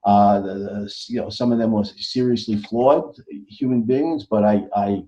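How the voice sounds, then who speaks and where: A man's voice: lowish voice, measured pace, dark tone. An American man speaking as an interview guest, emphatic, often stammering and repeating words as he goes. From United States. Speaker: author guest on a podcast. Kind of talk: conversational interview. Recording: dull lo-fi audio.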